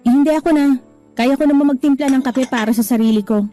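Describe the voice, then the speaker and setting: A woman's voice: high voice, fast, mellow, faint music behind, close to an everyday voice. A woman playing a tired, irritable young woman breaking up with her boyfriend in a radio drama. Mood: irritated.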